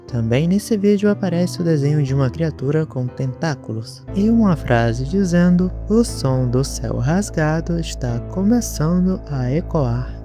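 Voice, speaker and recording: A man with a Brazilian accent narrating an explainer about an online horror series. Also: a little high. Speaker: YouTube narrator.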